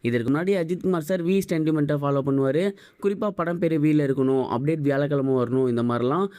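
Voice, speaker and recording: Fast-talking man presenting news. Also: on the high side, mellow, dry recording, clean studio sound. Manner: brisk, mixes English words into Tamil. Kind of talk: fast news recap for online video.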